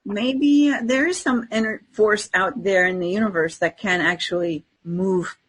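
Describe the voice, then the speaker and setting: A woman with a low-clarity, distinctly husky voice speaks as a podcast co-host. Her delivery is casual and earnest, like an unscripted chat, and she often checks that her listener agrees. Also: fairly even intonation, measured pace.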